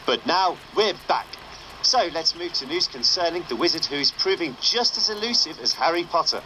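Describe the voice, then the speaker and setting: An underground radio presenter for a game. His voice is bright and lively, with dry, sardonic announcing. There is faint noise behind him. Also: a little high, moderate pace, low-quality recording. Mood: wry, upbeat. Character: wry underground radio show host, a man. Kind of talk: broadcast radio presenting, scripted game dialogue.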